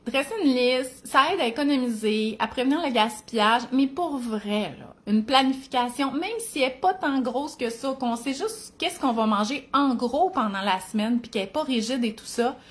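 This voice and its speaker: A woman's voice: on the high side, normal speed, few pauses. A woman in her forties with a Quebec French accent, chatting casually to camera as a mom and nutrition author, talking in an easy flow with tag questions and little laughs. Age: 40s.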